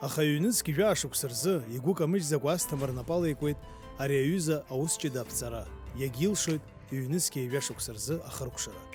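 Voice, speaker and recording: A man's voice: a little high, expressive, a little fast, darkish tone. Male narrator over music. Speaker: documentary narrator. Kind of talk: scripted narration. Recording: music under the voice.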